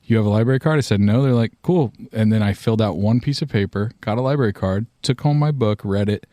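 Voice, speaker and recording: Male podcast co-host, lowish voice, lively storyteller, dry close mic. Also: fast talker, no echo. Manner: animated storyteller, laughs loudly. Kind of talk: casual chat.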